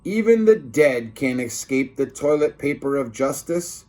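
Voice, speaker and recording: A man's voice: medium pitch, very animated, unhurried, dark tone. A man voicing a bold, silly superhero from a children's comic, confident and declamatory. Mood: confident, heroic.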